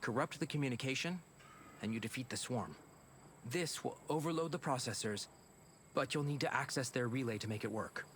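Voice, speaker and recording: An actor playing a guilty, grief-stricken scientist in a video game, earnest and halting. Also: male voice, mid-range voice, a bit bright, a little hiss or hum, close to an everyday voice. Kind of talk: video game cutscene acting.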